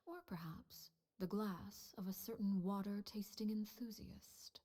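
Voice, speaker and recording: An actress playing a composed, calculating female leader who speaks in smooth, measured words with veiled threats. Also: medium pitch, fairly even intonation, warm tone, natural voice. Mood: cool.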